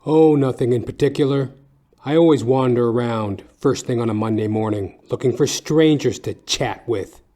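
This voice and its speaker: A man playing a sardonic, world-weary private detective, narrating deadpan in hard-boiled style for an audio dramedy. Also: medium pitch, normal speed, close to an everyday voice. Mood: sarcastic, casual. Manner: wry, deadpan wisecracking. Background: music behind the voice.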